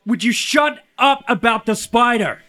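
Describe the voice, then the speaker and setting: A man's voice: very high voice, animated, unhurried, bright, forceful tone, exaggerated voice. A man acting an exasperated, nagging restaurant boss in a scripted read, barking curt orders. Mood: exasperated, angry. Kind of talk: acted script table read.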